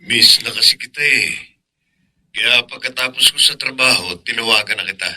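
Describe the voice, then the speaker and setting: A man's voice: mid-range voice, normal speed, bright, forceful tone. A man playing an ardent, tender boyfriend in a Filipino radio drama, romantic and earnest. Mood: tender, longing. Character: ardent, tender boyfriend longing for his faraway sweetheart.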